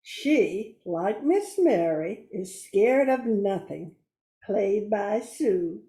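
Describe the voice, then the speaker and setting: A woman's voice: medium pitch, lively intonation, extremely slow, mellow. An older woman reading a scripted cast introduction for an amateur radio play, with roomy, echoing sound.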